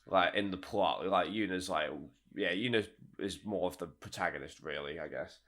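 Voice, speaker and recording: A man's voice: deep voice, moderate pace, fairly bright tone. A man chatting casually on a podcast about voicing characters, laughing often and talking with lots of fillers. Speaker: voice actor of a fan abridged series.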